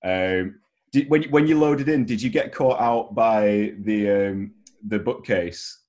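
Low-voiced young man interviewing casually. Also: slow, a little mellow. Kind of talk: casual video-call interview. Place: home room, video call. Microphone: headset on video call.

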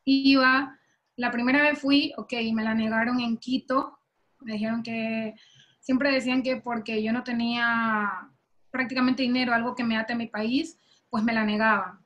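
A young woman from Ecuador, a softball player, speaking softly and hesitantly over a video call. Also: fairly high pitch, fairly even intonation, moderate pace, highs cut off. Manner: soft-spoken and hesitant, with many fillers.

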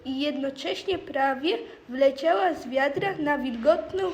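A schoolgirl narrates a fairy tale. Her voice is high and she reads slowly, as in a storybook radio play. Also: a little mellow. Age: child, around twelve.

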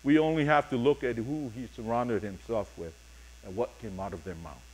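A middle-aged man preaching a sermon as pastor, reading scripture and speaking deliberately in short, paused phrases. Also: mid-range voice, darkish tone, a little hiss or hum, low-quality recording. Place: church sanctuary, speaking from a pulpit on stage.

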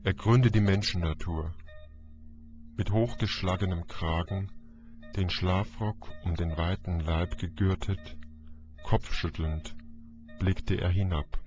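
Male audiobook narrator, very unhurried dramatic delivery, slightly dull lo-fi recording. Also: low-pitched, steady tone. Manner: deliberate, declamatory. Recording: dull lo-fi audio, music behind. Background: music.

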